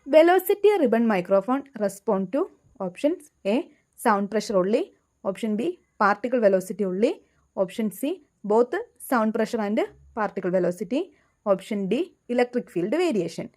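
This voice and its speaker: A woman with a Malayali accent working through a scripted quiz lesson as an exam tutor, reading items out in a deliberate, enunciated way. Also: mid-range voice, animated, a little mellow.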